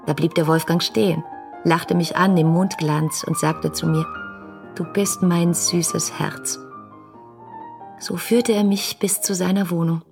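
An actress playing a headstrong, lovestruck young woman in a radio play, voicing her letters with breathy, ardent intimacy. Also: on the low side, fairly even intonation, measured pace, music in the background. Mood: dreamy, enraptured. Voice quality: husky, breathy.

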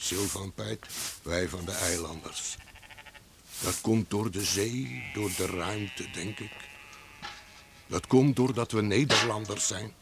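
Kindly meddling neighbour, old radio play, slow gravelly talk, noisy w sheep behind him. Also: male voice, fairly low pitch. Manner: slow, hesitant, admonishing. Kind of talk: Dutch radio play acting. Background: sheep bleating.

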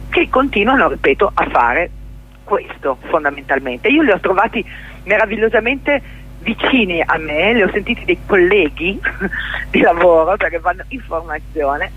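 A middle-aged woman being interviewed on the radio, explaining her work in a halting, reflective way and repeating words for emphasis. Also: fairly low pitch, breathy edge, narrow, tinny audio. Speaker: interview guest, theatre journalist and performer.

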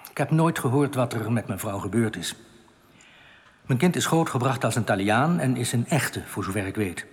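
Deep reverb surrounds an alien outcast, acted by a man in a science-fiction radio play. He has a mid-pitched male voice with lively swings, and he explains himself deliberately, earnest and reassuring with a quiet bitterness. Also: natural voice. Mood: solemn, bitter. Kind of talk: radio drama acting. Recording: long cavernous reverb.

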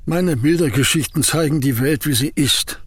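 An actor playing a gruff, taciturn, misanthropic male painter-poet in a German radio play, curt and dismissive. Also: fairly high pitch, moderate pace, no room sound.

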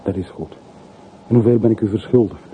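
An actor playing a desolate, curt traveller in a radio play, talking in short clipped sentences. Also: male voice, fairly low pitch, fairly even intonation, a little fast, lightly acted. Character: desolate, curt man parting at a railway station. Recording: muffled, noisy old radio recording.

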